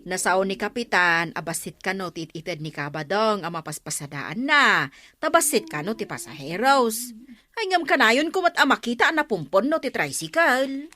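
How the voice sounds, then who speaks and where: A woman's voice: medium pitch, very animated, moderate pace, bright, forceful tone. An actress with an Ilocano accent playing a gossipy, tattling village woman in a radio comedy drama. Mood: gossiping.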